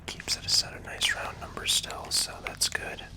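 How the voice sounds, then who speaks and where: Whispering ASMR gamer. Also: normal speed. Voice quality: breathy unvoiced whisper. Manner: hushed, relaxed running commentary. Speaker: gaming video host. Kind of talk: ASMR whispered game commentary.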